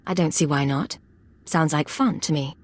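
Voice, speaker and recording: A woman's voice: sits low, moderate pace. An actress playing a confident young female imperial agent in a video game, cool and controlled. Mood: cool, casual. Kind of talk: video game character dialogue.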